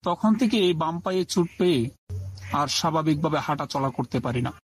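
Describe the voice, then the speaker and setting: A man's voice: fairly high pitch, expressive, a little fast, a little hiss or hum, rough recording. A man narrating a scripted first-person horror story in a dramatic, suspenseful way.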